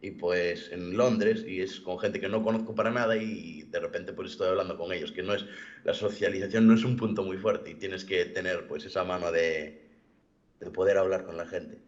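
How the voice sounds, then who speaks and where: Low-voiced young man, halting delivery. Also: fairly even intonation. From born in the United States, living in Galicia, Spain. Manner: halting, rephrasing and repeating words. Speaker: event participant giving a personal testimony. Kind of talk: personal testimony in an online interview. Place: video call. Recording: dull, lo-fi online call audio.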